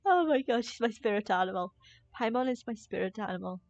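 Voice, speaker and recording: A woman's voice: on the high side, lively intonation, a little fast, a little mellow, no echo, lo-fi sound. A woman giving casual, jokey live commentary as she plays a game, reacting aloud to it. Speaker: game streamer.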